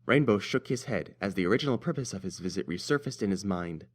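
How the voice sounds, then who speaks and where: A man's voice: on the low side, animated, quick pace. A man narrating a scripted fan-fiction story as an audiobook reader.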